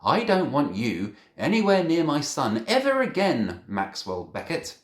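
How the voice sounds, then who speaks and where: Man putting on an angry mother, raised pitch. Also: huge pitch swings, unhurried. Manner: sharp, warning. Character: angry, protective mother scolding a child. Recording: echoey room.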